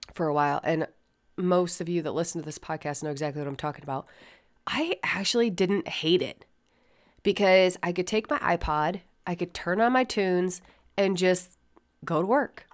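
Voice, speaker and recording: A woman talks in a low voice, scratchy and a little sniffly from a head cold. She rambles candidly through a casual solo podcast and swears freely. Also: normal speed. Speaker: podcast host.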